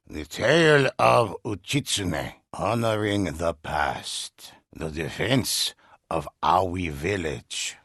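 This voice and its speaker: An actor playing an older, solemn but inviting storyteller who recounts ghostly samurai legends in a theatrical, gravelly, husky voice for a video game. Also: male voice, low voice, a bit bright, dry recording, clean studio sound, full character voice. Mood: inviting, measured. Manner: measured, theatrical, savoring words. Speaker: storyteller narrator. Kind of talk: dramatic scripted tale-telling.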